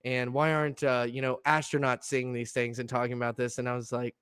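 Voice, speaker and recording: A young man co-hosting a laid-back podcast, his voice a little gravelly and nasal from allergy congestion. He speaks at a middling pitch, and the sound comes through smooth and clear in a dry, close room. He rambles easily, restarts sentences and laughs often. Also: flat delivery, brisk, a bit bright, no echo. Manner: easygoing, laughs often, many fillers and restarts. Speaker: podcast co-host. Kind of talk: casual chat.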